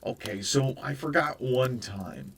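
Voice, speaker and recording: A man voicing a flustered, fast-talking man dodging blame, who stammers and fills his talk with hesitations. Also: medium pitch, gravelly, slight background noise. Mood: defensive. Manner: stammering, full of uh fillers. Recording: voice a bit far from the mic.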